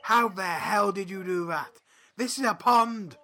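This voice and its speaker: An actor playing a brusque, scornful drill sergeant duck in an audio drama, barking sarcastic military orders. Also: male voice, high-pitched, measured pace, bright, forceful tone.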